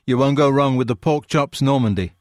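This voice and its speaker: Opinionated bearded landlord, read by a man in a raised voice; audiobook figure, gruff and quick-tempered. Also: mid-range voice, darkish tone, dry recording, clean studio sound, pitched above the performer's own voice, close to an everyday voice. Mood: pleased. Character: blunt bearded male pub landlord, opinionated.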